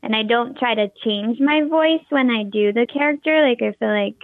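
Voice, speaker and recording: A young woman with a high voice, a performer and teacher, talking in an interview with lots of hesitant fillers and restarts. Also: normal speed, dry recording. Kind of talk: interview conversation. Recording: tinny phone-like line, dry.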